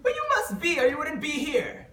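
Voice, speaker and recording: A woman's voice: sits high, wildly swooping pitch, moderate pace, sharp tone, exaggerated voice. A woman playing a teasing, mad, grinning cat in an amateur readers theater show. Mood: playful, airy. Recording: echoey room, far mic, faint noise.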